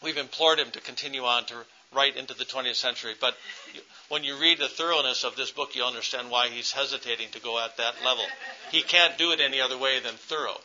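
Man, conference introduction, very bright voice, relaxed, filler-heavy, dull lo-fi recording. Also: medium pitch, quick pace, a little hiss or hum. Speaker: family doctor introducing a speaker. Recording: dull lo-fi sound.

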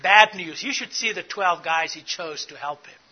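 A man's voice: high voice, normal speed, highs cut off. A middle-aged man from Africa, giving an earnest teaching talk to church leaders and putting questions to the audience. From South Africa. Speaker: conference speaker.

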